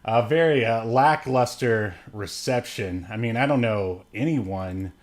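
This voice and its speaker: A man with a medium-pitched voice co-hosts a casual podcast. He comments on pop culture in a halting, conversational way and tends to chuckle through his sentences. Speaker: podcast co-host. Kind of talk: casual chat, movie news commentary.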